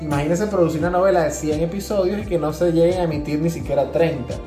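A man's voice: fairly high pitch, fast talker, mellow, roomy sound, faint music behind. A man with a Venezuelan accent explaining things in casual podcast chat, repeating words as he thinks. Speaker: podcast co-host.

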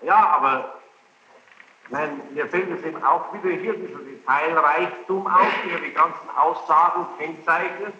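A man's voice: on the high side, normal speed, sharp tone. A man questioning a witness in court, probing and sceptical, often repeating the answers back. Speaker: courtroom examiner. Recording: muffled archival tape, echoing hall, faint noise.

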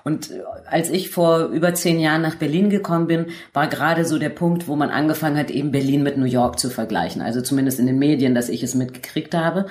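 Low-voiced woman host in a roomy space. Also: quick pace, hardly any pauses, darkish tone. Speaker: host, interviewer. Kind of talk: conversational podcast interview.

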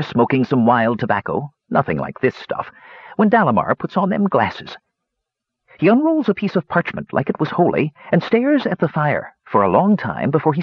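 Guy putting on a cranky old dwarf hermit, high growly husky voice, folksy backwoods talk, fantasy audiobook. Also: dry recording, dull audio. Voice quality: coarse, growling. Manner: folksy, rustic, ungrammatical speech. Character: grumpy, battle-weary old male dwarf hermit.

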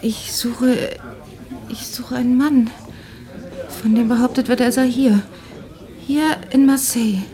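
A woman's voice: fairly high pitch, steady tone, dark tone. A weary refugee searching for her husband. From Germany. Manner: weary, halting, at times near a whisper. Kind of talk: radio drama acting.

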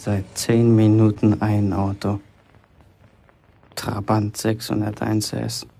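Curt, restless young apprentice. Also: male voice, on the low side, flat delivery, slow, creaky, warm tone, heavily acted. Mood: musing alone. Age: young adult. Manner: curt, evasive, defiant. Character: sullen, restless young bricklayer's apprentice, male. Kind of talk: German radio play acting.

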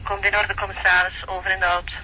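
Dutiful police officer, high flat voice, radio effect, formal, very noisy. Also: male voice, brisk. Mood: businesslike. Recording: dull police radio, loud noise.

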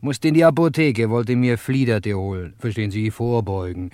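An actor playing a cocky, tipsy private detective in a wry, swaggering 1950s radio crime play style. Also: male voice, medium pitch, moderate pace, darkish tone, dry recording, lightly acted. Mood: wry, boastful. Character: tipsy hard-boiled male private detective, cocky and jaunty. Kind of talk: 1950s German radio crime play, first-person narration.

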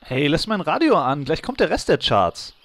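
A man's voice: on the high side, sing-song, normal speed. An actor playing an irritable, curt mage who grumbles his way through a comedy audio play. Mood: irritated. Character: grumpy, put-upon male mage in an online game. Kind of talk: radio comedy acting. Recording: loud background noise.

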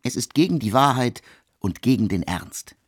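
Aloof intellectual composer, dry studio sound. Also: male voice, medium pitch, lively intonation, normal speed, slightly rough, dry recording. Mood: scornful, intense. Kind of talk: literary radio drama monologue.

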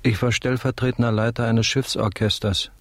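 A man's voice: medium pitch, fairly even intonation, moderate pace, dry recording, close to an everyday voice. A male actor playing a polite, compliant ship's flautist in a German radio play, answering earnestly. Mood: dutiful. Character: earnest, deferential male ship's flute player.

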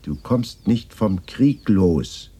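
Husky, weary war survivor. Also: male voice, fairly low pitch, lively intonation, slow, warm tone, exaggerated voice. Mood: weary, resigned. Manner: evasive, subdued, defensive. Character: weary, defensive male war survivor, resigned. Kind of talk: 1980s German radio drama dialogue.

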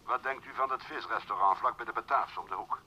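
An actor playing a brisk, courteous businessman speaking over the telephone in a Dutch radio play. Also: medium pitch, lo-fi sound, heavy background noise. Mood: businesslike, courteous.

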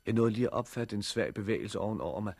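An actor playing an earnest, curious teenage boy who loves botany, in 1960s radio drama style, with a husky voice. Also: fairly low pitch, darkish tone. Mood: reflective narrating.

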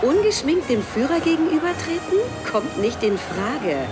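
An actress playing an elegant young English socialite, a devoted elder sister, in a radio drama, with a slightly husky voice. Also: female voice, sits high, very animated, moderate pace, music in the background. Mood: indignant. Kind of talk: radio drama acting, recollected narration.

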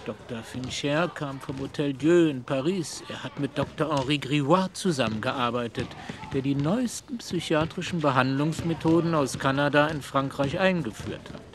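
In a long, echoing space, an actor plays a clinic doctor in a radio play. His male voice sits a little high. He explains formally and proudly, like a guide. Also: moderate pace, background noise, lightly acted. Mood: proud, instructive. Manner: formal, lecturing. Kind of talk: radio drama dialogue. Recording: long reverberant hall echo.

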